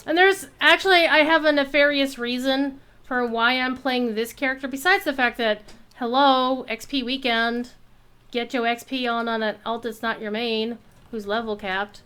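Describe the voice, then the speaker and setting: A woman giving casual live commentary while streaming a game, playful and expressive, with some salty language. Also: fairly high pitch, moderate pace, clean tone.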